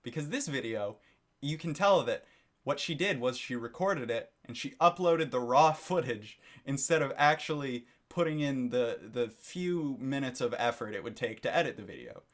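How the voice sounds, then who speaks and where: A man's voice: medium pitch, expressive, normal speed, fairly bright tone. A man giving a critical commentary monologue for his video channel, lecturing and disapproving, often repeating his phrases. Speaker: YouTube commentator.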